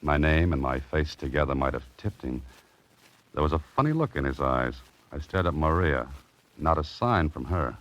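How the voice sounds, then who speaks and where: A man's voice: very deep voice, lively intonation, moderate pace. A man playing a cool, wry American amateur detective in a 1940s radio mystery, clipped and understated. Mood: cool, suspenseful. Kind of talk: 1940s radio drama narration and acting. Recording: dull old radio recording.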